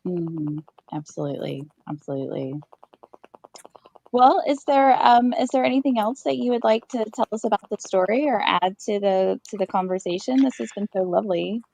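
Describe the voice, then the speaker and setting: A woman's voice: mid-range voice, moderate pace, creaky, darkish tone, low-quality recording. A woman hosting a podcast in casual interview chat, talking in an effusive, affirming way. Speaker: podcast host.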